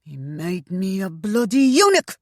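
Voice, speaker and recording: A woman voicing a fat, bitter male pirate eunuch who gloats in rough tavern dialect. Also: mid-range voice, lively intonation, measured pace, no room sound, clean studio sound, voice pushed up, lightly acted. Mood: bitter, gloating. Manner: coarse dialect, tavern bluster.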